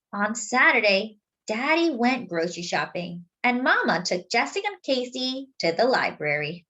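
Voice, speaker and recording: A woman reading a picture book aloud to children expressively, adding simple explanatory asides in a deliberate way. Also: mid-range voice, bright, forceful tone, muffled recording. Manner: deliberate, explanatory for young listeners. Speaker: children's story reader.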